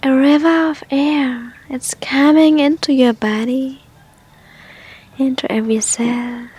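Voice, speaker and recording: Female meditation guide, high breathy voice, very slow and soft, gentle instructional delivery with long pauses. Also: steady tone.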